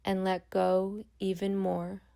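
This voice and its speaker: A woman guiding a meditation as a yoga nidra instructor, speaking calmly and gently with long pauses. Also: medium pitch, vocal fry, clean tone. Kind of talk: guided meditation.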